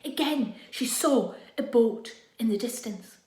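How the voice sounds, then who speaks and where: High-voiced, slow-paced woman storyteller. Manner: expressive, swinging from hushed to loud. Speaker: storyteller, primary school staff reading to children. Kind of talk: dramatic children's storytelling. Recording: echoey room.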